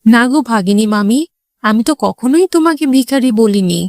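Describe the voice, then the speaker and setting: A woman's voice: fairly high pitch, lively intonation, brisk, a little mellow, no echo, studio quality. A woman voicing an exasperated mother fox in a children's cartoon, nagging and scolding. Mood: appeasing.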